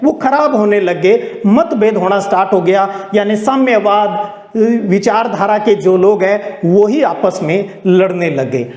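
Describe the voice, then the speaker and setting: A man's voice: extremely high pitch, darkish tone. A man teaching like a storyteller, conversational and explanatory, asking questions and answering them himself. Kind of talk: storytelling classroom lecture. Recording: echoey room.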